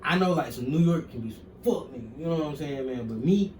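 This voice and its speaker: A man's voice: a little high, lively intonation, quick pace, darkish tone. Southern man, laid-back slang, echoey room. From Mississippi. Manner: laid-back slang, repeats "you know what I'm saying". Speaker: guest wrestler. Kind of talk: casual interview. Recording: far-off echoey room with faint noise.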